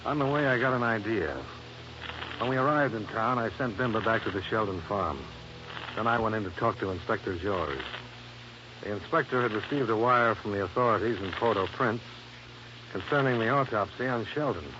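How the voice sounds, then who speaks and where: A man's voice: lowish voice, normal speed, dull audio, natural voice. A man playing a tough, wry American insurance investigator in a 1950s radio detective drama, dry and confident. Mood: dry, resolute. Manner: dry, confident, matter-of-fact. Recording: noisy, band-limited old radio recording.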